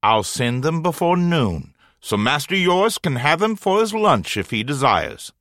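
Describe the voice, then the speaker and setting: A woman's voice: deep voice, sing-song, measured pace, a bit bright, dry recording, studio quality, voice pushed down, full character voice. A woman voicing a jovial middle-aged male master baker, speaking in a hearty, eager way. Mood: pleased.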